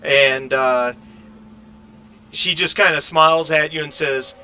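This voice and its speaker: A male game master with a bright, forward voice improvises scenes for his players. He pauses and stretches words as he thinks, in a casual table-talk style, with faint noise behind him. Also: a little high, steady tone, rough recording.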